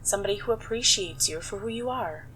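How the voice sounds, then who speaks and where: An actress playing a nurturing, gently fussing middle-aged mother in a comic dub. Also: mid-range voice, a little fast, faint music behind, lightly acted, poor audio. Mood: caring. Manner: nurturing, reassuring. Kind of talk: dramatic comic dub acting.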